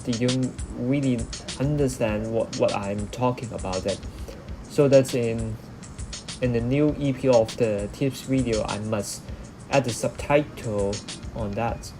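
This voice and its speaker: A man with a Hong Kong accent talks to his chat at an unhurried, deliberate pace. His English halts and loops back on itself as he searches for words. Music plays clearly behind his voice throughout. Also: medium pitch. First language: Cantonese. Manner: halting, repeats words while searching for English. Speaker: live streamer answering chat. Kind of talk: live stream Q&A chat.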